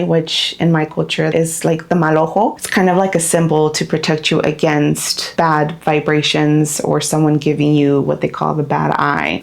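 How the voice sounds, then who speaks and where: A woman's voice: fairly low pitch, creaky. A young woman from Southern California chatting earnestly and reflectively, answering viewer questions in a casual vlog. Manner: earnest, reflective, lists things in runs. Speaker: YouTube creator. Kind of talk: casual Q&A chat.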